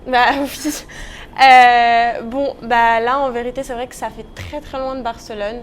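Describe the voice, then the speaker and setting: A woman's voice: on the high side, steady tone, measured pace, a little hiss or hum. A nineteen-year-old woman from the Paris region, giving casual interview answers. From Paris region, France. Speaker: interview guest, professional tennis player.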